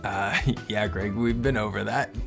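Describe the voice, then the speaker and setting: A man who co-hosts a children's storybook show, reading and bantering comically with theatrical swings between soft delivery and sudden shouts. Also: lowish voice, unhurried, creaky, faint music behind. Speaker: comedian co-host of a children's read-aloud show. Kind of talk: comedic storybook read-aloud with banter.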